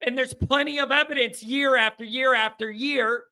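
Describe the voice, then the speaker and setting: A man hosts a call-in sports show from his home basement. His voice sits high and sounds very bright. He rambles in an animated way and pokes fun at himself. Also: normal speed, poor audio. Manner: animated, rambling, self-deprecating. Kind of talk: casual call-in chat.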